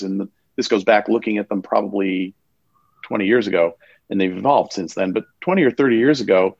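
A man speaking as an investor on an online panel, explaining his views in a reflective, measured way with some restarts. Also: lowish voice, breathy edge, dry recording, dull audio. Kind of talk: conversational online panel talk.

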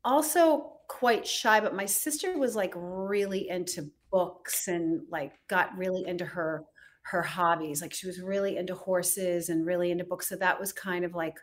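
A woman from Rhode Island, a fashion designer guest, talking in a relaxed, chatty way full of fillers. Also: lowish voice, moderate pace. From United States, Rhode Island. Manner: chatty, relaxed, many fillers like "like" and "you know". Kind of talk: casual interview chat.

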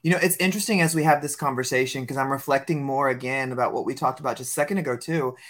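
A man's voice: mid-range voice, rapid-fire, fairly bright tone. Reflective man hosting a conversation. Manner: reflective, thoughtful. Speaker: podcast host, educational leader. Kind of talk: casual conversation.

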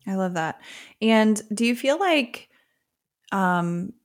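A woman's voice: medium pitch, animated, takes it slowly. A woman hosting a casual interview, talking in a rambling, loose way and laughing often.

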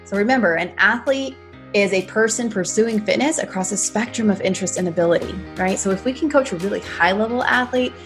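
A woman's voice: medium pitch, a little fast, music in the background. A woman hosting a podcast, talking in an encouraging, conversational way and often saying "like". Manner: warm, encouraging, uses "like" a lot. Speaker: podcast host. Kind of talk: casual conversation and scripted outro.

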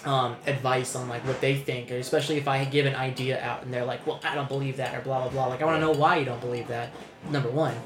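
Picture a young man hosting a casual podcast. He rambles and restarts himself a lot and swears freely. His mid-pitched voice carries a noticeable room echo. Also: flat delivery, fast, noisy background. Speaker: podcast host.

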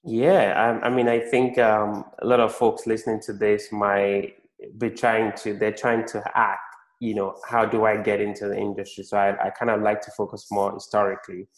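A man's voice: fairly low pitch, flat delivery, moderate pace, rough recording. A man hosting an interview podcast, speaking to guests and listeners. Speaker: podcast host. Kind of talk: podcast interview and scripted promo.

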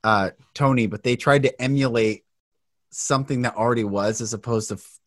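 A man hosting a podcast, speaking in a thoughtful, halting way with fillers as he reflects on his guest's words. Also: mid-range voice. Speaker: podcast host. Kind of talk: reflective interview talk.

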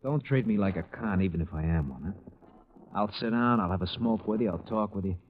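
An actor playing a tough, streetwise, insistent ex-convict in a 1950s radio crime drama. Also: male voice, lowish voice, lively intonation, fairly quick, close to an everyday voice. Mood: edgy, proud. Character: tough, wary male ex-convict with a scheme. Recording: muffled, noisy old radio recording.

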